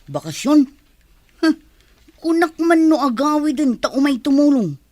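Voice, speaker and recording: Blunt high-voiced Ilocano village gossip played by a woman. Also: very animated, measured pace, dark tone, no echo. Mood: insistent. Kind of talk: Ilocano radio comedy drama acting.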